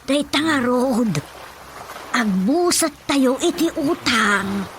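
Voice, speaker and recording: A woman's voice: high voice, expressive, slow pace, a little hiss or hum. An actress playing an older, practical mother in an Ilocano radio drama, emphatic and given to complaining. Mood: worried complaining. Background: background music.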